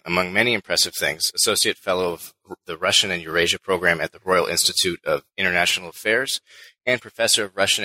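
Brisk deep-voiced male interviewer. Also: sharp tone, no room sound, low-quality recording. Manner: brisk, polished reading. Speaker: podcast host, interviewer. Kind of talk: scripted introduction and interview questions.

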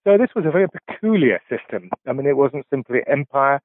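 Brief: professor in his 60s, Polish, halting and reflective, heard over a dry, tinny phone line. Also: medium pitch, animated, dry recording. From England. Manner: halting, many filler sounds and restarts. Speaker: professor, interview guest. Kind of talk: reflective interview answers.

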